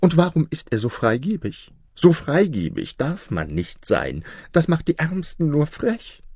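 A man voicing a scheming, jealous vizier in a whining, high-pitched storybook voice. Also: big pitch swings, darkish tone, slightly rough, no echo, clean studio sound, heavily acted. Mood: insinuating. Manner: whining, drawn-out.

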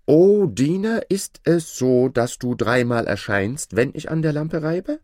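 Guy doing an eager storybook boy, impulsive, always asking, very lively pitch swings. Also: unhurried, slightly rough, dry recording, clean studio sound, full character voice. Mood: bold, questioning.

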